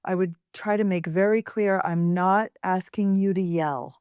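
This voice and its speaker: An American woman, a voice professor being interviewed, with a fairly deep voice, speaking thoughtfully with pauses and fillers. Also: fairly even intonation, darkish tone, smooth, no echo. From United States. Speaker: voice and speech professor, guest. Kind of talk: interview answers.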